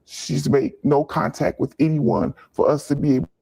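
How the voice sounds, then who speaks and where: Male news interviewee, higher-pitched for a man, extremely husky. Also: steady tone, moderate pace, mellow.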